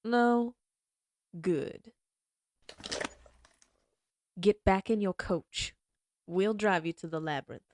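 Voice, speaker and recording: A woman playing a menacing female outlaw leader who taunts her captives in a slow, mocking drawl. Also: mid-range voice, slight background noise, close to an everyday voice. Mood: taunting. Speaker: voice actress. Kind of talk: audio roleplay acting.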